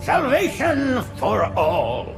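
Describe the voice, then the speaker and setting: High, creaky, zealous alien prophet. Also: male voice, huge pitch swings, takes it slowly, heavily acted. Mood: reverent, grandiose. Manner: fervent, ceremonial preaching. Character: pious, grandiose old alien prophet. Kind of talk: cinematic video game acting. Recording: music behind the voice.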